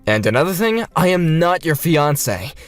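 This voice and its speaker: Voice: mid-range voice, big pitch swings, normal speed, soft background music, close to an everyday voice. A narrator voicing a stern, indignant father, firm and reproachful, in a manga dub. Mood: stern anger. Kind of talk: manga dub character acting.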